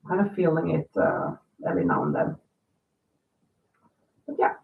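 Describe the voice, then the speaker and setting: A woman, chatting in a rambling way on a livestream, a little nasal and raspy from a cold. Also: low-pitched, huge pitch swings, moderate pace. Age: 50s. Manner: chatty, rambling, reads viewer comments aloud. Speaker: crochet livestream host. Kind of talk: casual livestream chat. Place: home. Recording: distant, echoey, phone-like sound.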